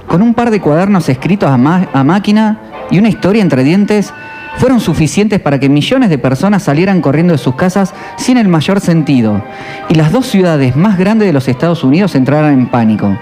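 Guy narrating a radio doc, mellow voice, lots of pitch movement, soft music under. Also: expressive. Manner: measured, reflective storyteller. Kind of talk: reflective documentary narration.

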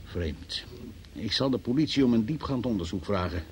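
A man's voice: lowish voice, animated, moderate pace, a little mellow, close to an everyday voice. Actor as stern, sceptical male magistrate, hissy recording. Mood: dry, businesslike. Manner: formal, insistent questioning. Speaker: examining magistrate. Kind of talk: Dutch radio play acting. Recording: noisy old radio play recording.

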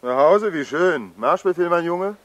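A man's voice: fairly high pitch, lively intonation, a little slow, highs cut off. An actor playing a sarcastic, menacing German army lieutenant in a radio play, mocking and bullying as he talks. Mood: mock friendly.

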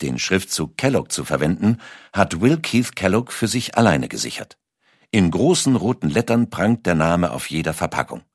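A man's voice: low voice, no echo, clean studio sound. A man reading scripted documentary narration as a narrator.

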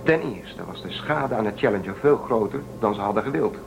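A man's voice: lowish voice, lively intonation, a little fast, creaky, lo-fi sound, noisy background. A man playing a defiant, suspicious spaceship crewman in a radio play, urging and persuading. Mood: insistent. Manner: urgent, persuasive, suspicious of authority.

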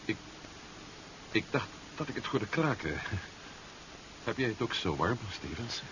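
Wary submariner, animated, dull noisy recording. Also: male voice, on the low side, measured pace. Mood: nervous. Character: wary, questioning male submarine passenger, astonished by the vessel. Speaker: actor in a radio drama. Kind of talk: 1970s radio drama acting. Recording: muffled, noisy old 1970s radio recording.

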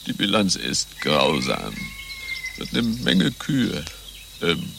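Deep, stammering, pompous spy chief. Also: male voice, huge pitch swings, slow, gravelly, background noise, heavily acted. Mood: grave, pompous. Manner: stammers, stumbles over words and slips. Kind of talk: satirical German radio play acting. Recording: music under the voice.

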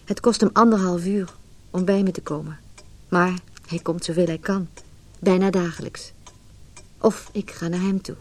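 A woman's voice: on the low side, steady tone, normal speed, long pauses. A woman playing a resolute but unhappy wife in a radio drama, speaking with restraint. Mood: reflective. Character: resolute, unhappy wife leaving her marriage. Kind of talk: radio drama acting, dialogue and reflective monologue.

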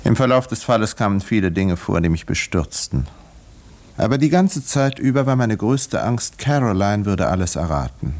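An actor playing an urbane, cynical doctor and self-satisfied murderer, speaking with smug, reflective irony in a 1950s German radio drama. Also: male voice, fairly low pitch, sing-song, normal speed, darkish tone.

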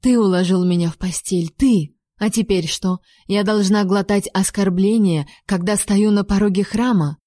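A woman's voice: mid-range voice, moderate pace, few pauses, warm tone, no echo, clean studio sound, lightly acted. A woman doing a fiery, defiant young heroine. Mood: indignant. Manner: heated, emphatic accusations. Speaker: heroine voiced by the narrator.

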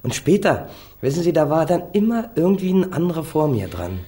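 A man's voice: fairly high pitch, very animated, fairly quick, warm tone. A man acting a defiant, street-tough young small-time crook in a German radio crime drama, flippant and slangy. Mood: bitter, self-pitying. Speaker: actor playing a defendant.

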